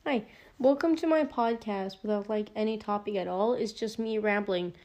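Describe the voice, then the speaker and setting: A woman's voice: mid-range voice, normal speed, darkish tone. Rambling Norwegian teen girl podcaster. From Norway. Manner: rambling, filler-heavy, giggly asides. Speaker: podcast host. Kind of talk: casual solo podcast rambling.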